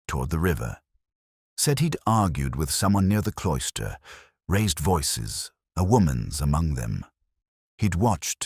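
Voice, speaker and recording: A man narrating as a brooding, reflective English detective, in measured, literary, melancholy first-person mystery storytelling. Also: a bass voice, husky, no echo, studio quality, heavily acted.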